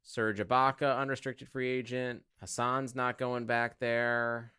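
A man's voice: medium pitch, little pitch movement, a little slow, pushed and bright, smooth, no echo, studio quality. A man co-hosting a casual sports podcast, talking in informal, sweary, bro-like slang. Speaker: podcast co-host.

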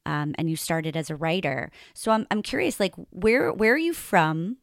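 A woman's voice: on the low side, sing-song, moderate pace, gravelly, no room sound, studio quality. A woman hosting an interview over a video call, chatty, with many fillers and frequent laughs. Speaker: podcast host. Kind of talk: casual interview chat.

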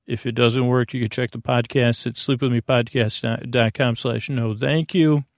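A man hosting a bedtime podcast with a creaky voice, meandering gently and hesitantly through soothing, tangent-filled reassurance. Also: medium pitch, fairly even intonation, moderate pace, no room sound, studio quality. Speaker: host of a bedtime sleep podcast. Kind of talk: rambling, soothing bedtime monologue.

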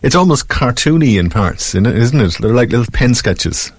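A man's voice: mid-range voice, big pitch swings, normal speed, husky. A man hosting a casual radio interview in a chatty, agreeable way. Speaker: radio host interviewing a guest.

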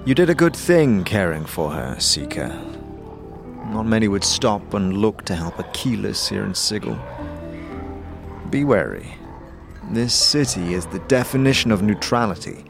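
A man playing a weary, kindly, otherworldly traveler with a gentle, cautionary way of talking. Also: lowish voice, very animated, unhurried, gravelly, over music, voice pushed down, full character voice. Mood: grave, kindly.

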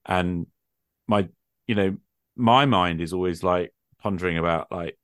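A man's voice: deep voice, unhurried, a bit bright, dry recording, studio quality. A man in a casual, reflective conversation, musing hesitantly and posing rhetorical questions as he goes. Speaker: podcast speaker, tabletop gamer.

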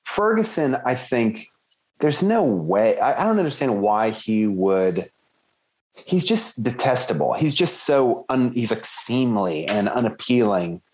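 A man co-hosting a casual book-club podcast, thinking aloud and hedging as he weighs theories with lively emphasis. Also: medium pitch, a little slow. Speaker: podcast co-host.

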